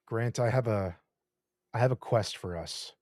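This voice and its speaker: A man's voice: lowish voice, measured pace, a little mellow, dry recording, studio quality. A man in his thirties co-hosting a podcast, talking in a laid-back way with many fillers. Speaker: podcast co-host. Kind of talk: casual chat.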